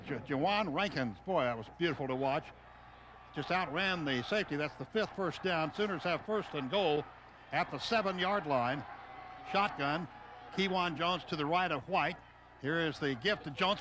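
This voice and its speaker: A high-voiced man works as a radio football announcer, calling each play in clipped, excitable bursts. The broadcast is noisy, with clear stadium ambience behind him. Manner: excitable, calls plays in short rapid phrases. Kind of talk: live football play-by-play commentary. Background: loud stadium ambience.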